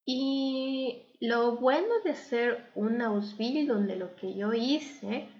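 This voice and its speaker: A woman's voice: a little high, takes it slowly, lo-fi sound. A young adult woman living abroad, speaking candidly and thoughtfully in a casual interview, with many fillers. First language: not German. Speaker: interview guest.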